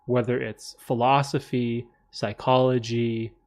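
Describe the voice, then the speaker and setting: A man's voice: mid-range voice, level tone, measured pace, darkish tone. A man hosting a casual livestream Q&A from a room with a bookcase, rambling with many fillers and restarts. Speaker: livestream host answering viewer questions. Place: room at home with a bookcase behind him.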